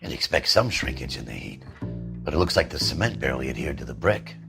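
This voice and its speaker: An actor playing a blunt, sardonic middle-aged American police detective in a crime video game. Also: male voice, rumbling low, big pitch swings, quick pace, creaky, over music, heavily acted. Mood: weary, matter-of-fact.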